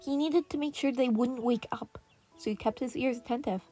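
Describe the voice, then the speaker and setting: Casting: female story narrator, higher-pitched voice, reading fan fiction over gentle piano music. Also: moderate pace. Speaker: podfic narrator. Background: relaxing piano and birdsong music.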